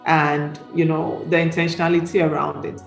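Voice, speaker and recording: A woman's voice: low-pitched, flat delivery, normal speed, vocal fry, soft background music. A woman moderating a panel discussion, reflective and questioning, often checking in with the listeners for agreement. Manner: reflective, questioning, seeking agreement with tags like "right?". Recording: roomy echo.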